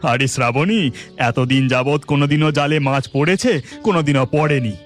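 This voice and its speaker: A man's voice: on the high side, fairly quick, soft background music, natural voice. A man voicing a humble, eager village fisherman full of amazement in a cartoon story. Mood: amazed. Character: excited, humble poor village fisherman and husband.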